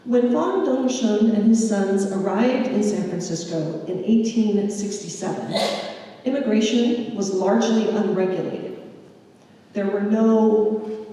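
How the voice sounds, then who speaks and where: A woman giving a public history lecture, telling a migrant's story in a measured, unhurried way. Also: mid-range voice, mellow. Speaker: history presenter. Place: lecture hall. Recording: echoey hall from far off.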